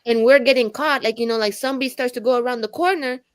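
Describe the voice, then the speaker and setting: Higher-voiced woman, casual tabletop player. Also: quick pace, clean tone, faint music behind. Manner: informal, slangy, frequent use of like. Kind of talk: casual chat, planning in game.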